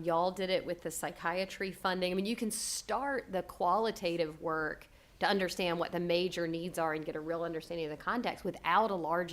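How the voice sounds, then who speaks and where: A woman's voice: lowish voice, fairly bright tone. A woman on a panel explaining how a research method works. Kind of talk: panel discussion.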